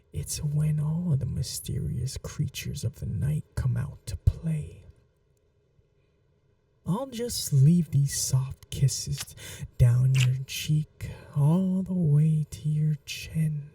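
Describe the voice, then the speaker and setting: Woman to play seductive vampire noblewoman for ASMR roleplay: very dark, mellow, creaky voice, soft whispering, possessive and teasing. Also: sits low, distant mic, heavily acted. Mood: hypnotic, coaxing. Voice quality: soft, breathy, often whispered. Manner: slow, languid, teasing and coaxing.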